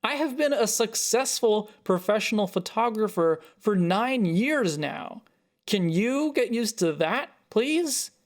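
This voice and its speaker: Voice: mid-range voice, animated, pitched above the performer's own voice. A narrator voicing a defensive, frustrated adult photographer arguing with a parent in a short story. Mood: angry, hurt. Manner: halting, then heated.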